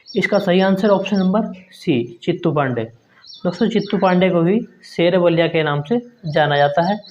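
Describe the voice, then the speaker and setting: A man's voice: a little high, darkish tone. A man teaching an exam prep lesson, reading quiz questions and answer options aloud and often addressing his viewers as friends. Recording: dull lo-fi sound.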